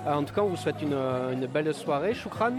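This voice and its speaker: A male interviewer asks polite questions in a somewhat high voice. He is recorded outdoors at a neighborhood party, with clear music behind him. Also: expressive, fast. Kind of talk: casual outdoor street interview.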